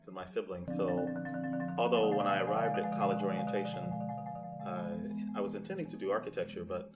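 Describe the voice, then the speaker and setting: A man's voice: low-pitched, steady tone, a little fast. A man who plays percussion, talking reflectively in a documentary interview. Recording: loud mallet percussion music underneath.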